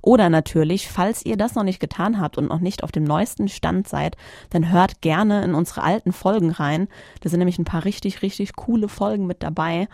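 A woman's voice: fairly low pitch, normal speed, a little mellow, no echo. Casual woman podcaster, dry studio sound. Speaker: podcast host. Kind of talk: casual solo podcast announcement.

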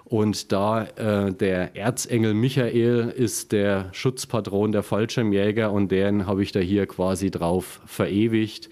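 A man from Upper Franconia with a Franconian accent, a small craft brewer explaining his beers in a relaxed interview with many fillers, recorded in a basement brewery room. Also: on the low side, takes it slowly, few pauses. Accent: Franconian German. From Upper Franconia, Germany. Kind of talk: casual interview.

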